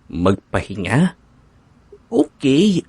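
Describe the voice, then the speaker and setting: A man's voice: medium pitch, wildly swooping pitch, extremely slow, a little mellow, a little hiss or hum, exaggerated voice. A man with a Filipino accent playing a playful, doting young boyfriend in a conversational audio drama. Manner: teasing, sweet, earnest. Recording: background music under dialogue.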